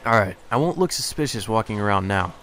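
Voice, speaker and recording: A man's voice: lowish voice, expressive, fast talker, a bit bright, a little hiss or hum. A man reading a visual novel aloud as a let's player, mixing the game's text with casual asides.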